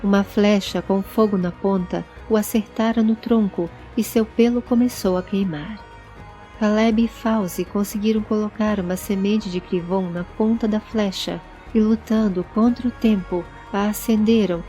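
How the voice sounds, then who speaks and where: A woman's voice: medium pitch, warm tone. A woman narrating a scripted fantasy audio story. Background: background music.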